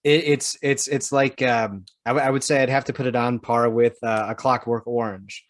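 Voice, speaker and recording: A man co-hosting a podcast, talking casually and informally with a halting, searching delivery. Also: mid-range voice, fairly even intonation, no room sound, clean studio sound. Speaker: podcast host.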